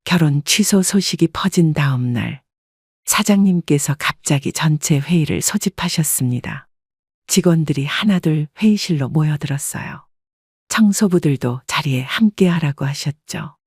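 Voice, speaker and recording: A woman reading a scripted first-person story as an audiobook narrator, in a measured, polite storytelling manner. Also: sits low, expressive, a little mellow, slightly rough, dry recording, clean studio sound.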